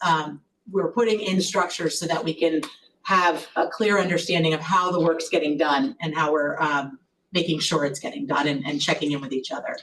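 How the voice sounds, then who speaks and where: A woman with a loud, lowish voice gives an upbeat board meeting report, chatty and full of fillers and restarts. She is picked up from a distance in a meeting room, with a little noise underneath. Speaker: collaborative executive director. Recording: distant mic with faint noise.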